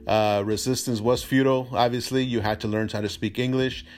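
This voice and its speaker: A man whose first language is Spanish, giving an informal explanatory talk as a real estate agent, halting with frequent mid-sentence pauses. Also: mid-range voice, steady tone, a little mellow. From immigrant to the US from a Spanish-speaking country.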